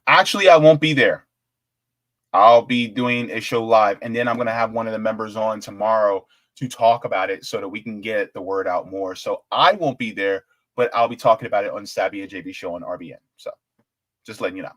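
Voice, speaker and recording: A man's voice: on the low side, a little fast, no echo. Chatty young man, dry close sound. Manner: chatty and rambling, excitable bursts. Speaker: political commentary host. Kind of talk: casual live-stream sign-off chat.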